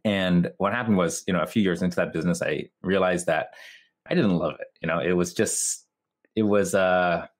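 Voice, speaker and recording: Low-voiced man with a North American accent. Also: moderate pace. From Japan. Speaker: interview guest, video marketer. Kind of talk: casual interview answers.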